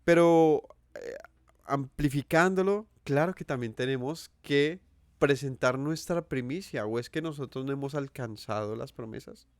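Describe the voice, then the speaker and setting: A man's voice: medium pitch, measured pace, no echo, clean studio sound. A Colombian man with a Colombian accent giving an earnest sermon, asking his listeners questions and repeating phrases for emphasis. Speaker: preacher. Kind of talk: sermon teaching.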